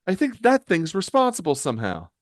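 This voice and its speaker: A man voices a quick-thinking comic-book superheroine, alert and anxious. The voice is pitched high, urgent and questioning, recorded dry. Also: wildly swooping pitch, no room sound. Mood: alarmed.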